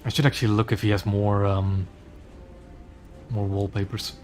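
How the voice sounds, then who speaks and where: A man's voice: fairly low pitch, level tone, normal speed, darkish tone, a little hiss or hum. A man from Belgium with a mixed Belgian and English accent, chatting casually with fillers while streaming a game. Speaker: gaming streamer. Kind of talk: casual commentary while playing.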